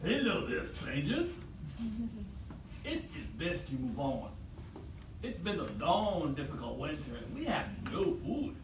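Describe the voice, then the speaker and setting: A wary, prickly animal puppet played by a woman, grumbling dramatically. The pitch leaps around a great deal, picked up from a distance in a roomy space with faint noise. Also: big pitch swings, unhurried, pauses often, heavily acted. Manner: dramatic, complaining. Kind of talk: live puppet show acting.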